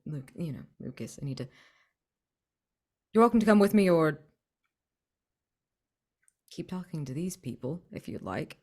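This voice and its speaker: Low-voiced woman playing a tabletop game. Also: sing-song, measured pace, long pauses, a little mellow. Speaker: tabletop roleplaying player. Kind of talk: casual in-character roleplay and table talk.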